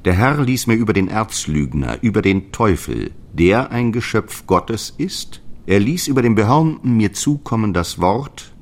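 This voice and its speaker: An actor playing a stern, zealous apostle who preaches and commands in solemn scriptural language for an audio drama. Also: male voice, on the low side, very animated, a little slow, hardly any pauses, heavily acted. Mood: preaching, instructing. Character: Paulus, stern zealous male apostle, guardian of the faith.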